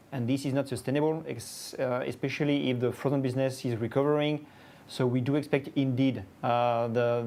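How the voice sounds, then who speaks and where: A man speaking as a company executive on an earnings call, giving measured, halting, matter-of-fact answers to investor questions. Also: mid-range voice, a little mellow.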